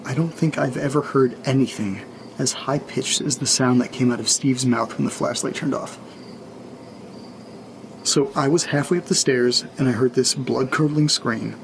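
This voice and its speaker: A man playing a jittery late-night audio diarist, speaking quietly and casually. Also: medium pitch, creaky, slight background noise, close to an everyday voice, low-quality recording. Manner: hushed, conversational, informal. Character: jittery, foul-mouthed man recording a late-night spooky audio diary. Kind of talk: confessional audio-diary horror fiction, casual.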